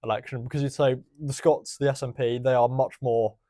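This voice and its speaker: A man co-hosting a political podcast, explaining things in a steady, measured way. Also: mid-range voice, no echo, clean studio sound. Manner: steady, explanatory, recapping results. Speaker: podcast co-host. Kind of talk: casual political chat.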